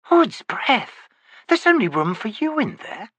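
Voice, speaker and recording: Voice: medium pitch, big pitch swings, slow, sharp tone, breathy edge, no room sound, voice pushed up, heavily acted. A narrator voicing a wary, impatient male wizard questioning a witch. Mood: probing.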